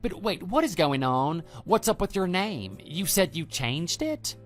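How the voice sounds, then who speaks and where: A man's voice: high-pitched, big pitch swings, measured pace, pitched above the performer's own voice. A man voicing a cocky, immature love rival with a brash, pushy manner in a scripted online radio drama. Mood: agitated, baffled. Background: background music.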